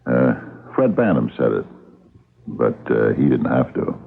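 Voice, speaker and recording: A shrewd police captain in a radio mystery, with an extremely deep, gravelly voice. He questions patiently, in halting, understated phrases, heard over a thin, narrow-band old recording. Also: sing-song, heavily acted. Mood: quietly accusing. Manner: hesitant, deliberate, understated interrogator. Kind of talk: radio drama acting.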